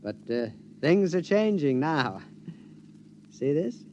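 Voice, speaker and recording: A henpecked husband in a vintage radio play, gleeful and secretive. His delivery swoops dramatically up and down, with excitable stammers and a conspiratorial air. The old recording is muffled, with faint noise. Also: a little high, slow. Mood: gleeful, excited. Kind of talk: mid-century radio drama acting.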